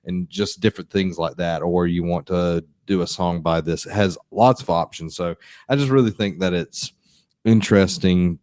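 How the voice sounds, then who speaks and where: A very low-pitched man talks games on a podcast, loose and rambling, often restarting mid-thought. His voice sits close and dry, with almost no room around it. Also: moderate pace, darkish tone, no room sound. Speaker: podcast co-host. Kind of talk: casual chat about games.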